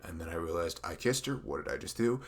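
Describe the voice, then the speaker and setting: A man playing a dorky, smitten young best friend in an intimate ASMR roleplay, speaking in a halting, awkward, rambling way. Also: low-pitched, expressive. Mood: flustered, giddy.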